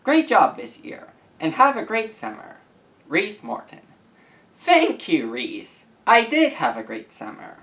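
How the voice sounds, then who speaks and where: A young man of about eighteen reading messages aloud and commenting to camera as a YouTuber, with an earnest, deliberate, halting delivery. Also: high voice, huge pitch swings, a bit bright, distant mic. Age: young adult, about 18.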